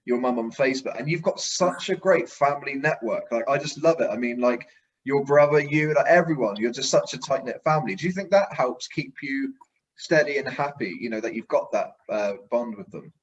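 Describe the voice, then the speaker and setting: A man with a mid-pitched voice, recorded a little dull and lo-fi. He hosts an interview in a conversational, effusive way, full of compliments. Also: expressive, a little fast. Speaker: interview show host.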